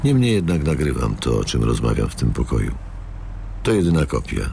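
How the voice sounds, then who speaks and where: An actor playing a cold, menacing elderly company boss in a radio drama, gravelly and coolly formal, deliberate and controlling. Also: male voice, a bass voice, very animated, warm tone, a little hiss or hum, heavily acted. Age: older.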